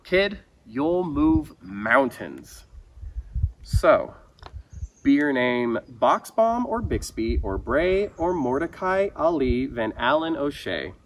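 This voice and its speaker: Animated man reading a rhyming storybook. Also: mid-range voice. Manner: expressive, theatrical storytelling with dramatic emphasis. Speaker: outdoor education naturalist reading a children's book aloud. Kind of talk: rhyming picture book read-aloud. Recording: outdoor recording with background ambience noise.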